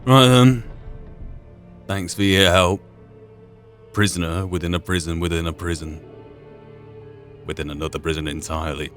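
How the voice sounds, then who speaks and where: A man's voice: low voice, steady tone, normal speed, pauses often, a bit bright, faint music behind. A man hosting a game playthrough, giving commentary as he goes. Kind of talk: game commentary and dramatic reading aloud. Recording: faint background noise.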